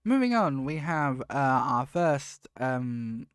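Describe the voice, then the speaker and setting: A man's voice: mid-range voice, big pitch swings, slow pace, smooth, no echo, studio quality. A man hosting his own podcast, talking casually and rambling, correcting himself often, a little sniffly. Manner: rambling, self-correcting, warmly grateful. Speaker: podcast host and project lead. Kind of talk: casual solo podcast talk.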